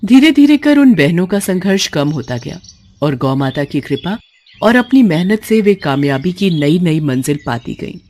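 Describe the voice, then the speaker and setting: A woman narrating a scripted moral story in an expressive, emotive, dramatic way. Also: on the low side, moderate pace.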